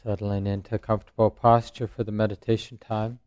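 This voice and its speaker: A man who is a meditation teacher, speaking or chanting slowly and with measured, long pauses. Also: fairly low pitch, flat delivery, darkish tone, dry recording. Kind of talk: slow chanting and guided meditation talk. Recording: dull, muffled recording.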